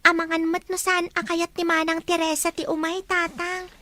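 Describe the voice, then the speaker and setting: A very high, bright voice of a respectful young daughter, acted by a woman in an Ilocano radio drama. The sound is close and dry, and she speaks politely to her elders. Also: little pitch movement, normal speed, no room sound. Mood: polite, earnest.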